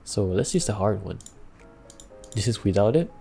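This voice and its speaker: Young man, deep voice, warm and mellow, casual tutorial, chatty, fillers, faint music underneath. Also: normal speed, gravelly. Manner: relaxed, chatty, laughs between steps, many fillers. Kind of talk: casual screen-recorded tutorial.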